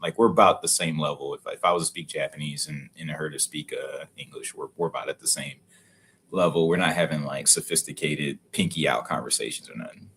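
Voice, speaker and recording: A man's voice: rumbling low, fairly quick, a bit bright, a little hiss or hum. A middle-aged man chatting casually as a guest on a livestream, laid-back and swearing now and then. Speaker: guest, card collector.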